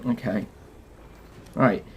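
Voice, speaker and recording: A man's voice: very slow, a little mellow, slight background noise. A man hosting a gaming video, giving a casual walkthrough and explaining each step directly to viewers. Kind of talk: casual game walkthrough commentary.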